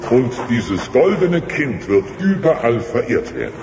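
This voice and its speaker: An actor playing a stern, solemn old dinosaur elder who proclaims pompously in a children's audio drama. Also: male voice, mid-range voice, sing-song, unhurried. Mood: solemn, proclaiming. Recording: dull, noisy, echoing cave reverb.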